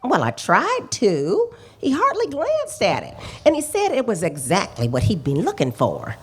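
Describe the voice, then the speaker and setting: A woman's voice: mid-range voice, huge pitch swings, unhurried, husky, exaggerated voice. A woman playing a fussy, talkative landlady in a radio drama, needling and pert.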